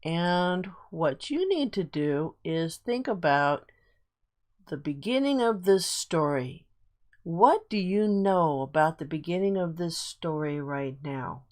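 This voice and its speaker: A woman teaching a guided reading lesson to children, explaining things simply and repeating key points. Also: fairly low pitch. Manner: simple explanations with much repetition.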